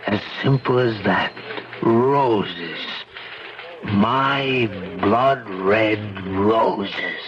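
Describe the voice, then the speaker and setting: A middle-aged man in a 1970s radio drama, playing a grieving father who narrates his plan for revenge in a slow, intense way. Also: lowish voice, heavy background noise. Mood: grim. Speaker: lead and narrator. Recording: dull old radio broadcast with music.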